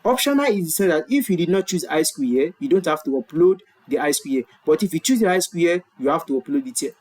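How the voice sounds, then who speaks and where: A man's voice: on the high side, lively intonation, fast talker, darkish tone, husky. A Nigerian man whose first language is Yoruba, walking viewers through an online form in a screen-share tutorial, explaining patiently and correcting himself as he goes. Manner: explanatory, repeats and self-corrects. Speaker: tutorial presenter. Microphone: webcam in corner of screen recording.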